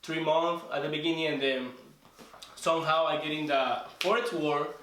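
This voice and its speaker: A young man from Venezuela with a strong Spanish accent. He speaks English as a second language, earnest and halting, and repeats words while he searches for the English. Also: on the high side, fairly even intonation, a bit bright, slight background noise. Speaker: trading student guest. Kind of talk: casual interview storytelling. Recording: echoey room, voice from a distance.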